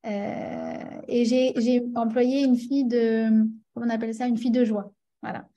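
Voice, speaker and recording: A woman with a French accent leads a class in a casual, talkative way. Her voice sits a little high yet sounds warm and mellow. She stops often to check that her listeners follow. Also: little pitch movement, normal speed. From France. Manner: chatty, many fillers and restarts, checks in with listeners. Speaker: religious teacher. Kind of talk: teaching a class.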